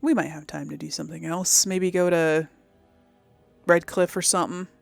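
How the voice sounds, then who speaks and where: A woman's voice: fairly low pitch, steady tone, moderate pace, vocal fry, dry recording, soft background music. A woman casually commentating on a game, rambling and thinking aloud in an easily amused, chatty way. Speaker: gameplay host.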